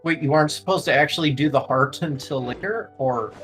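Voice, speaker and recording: A man's voice: a little high, brisk. A man chatting casually with a game streamer, in a hesitant, questioning way. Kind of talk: casual chat. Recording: loud game music over voice.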